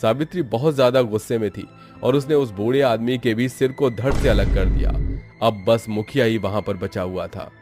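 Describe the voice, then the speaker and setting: A man's voice: mid-range voice, moderate pace, music in the background. A man narrating a scripted horror story for a cartoon.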